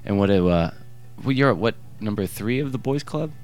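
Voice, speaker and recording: Deep-voiced rambling male podcast host. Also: animated, fairly quick, darkish tone, soft background music. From Ohio, USA. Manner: rambling, loose, joking, many fillers. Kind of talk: casual interview chat.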